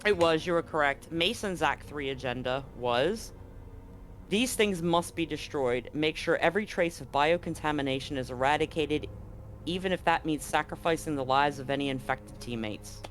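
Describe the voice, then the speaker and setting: A man's voice: on the high side, normal speed, fairly bright tone, faint music behind. Chatty man running a tabletop game. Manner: chatty, explanatory, frequent ums. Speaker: game master. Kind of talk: recapping and explaining a tabletop game on a livestream.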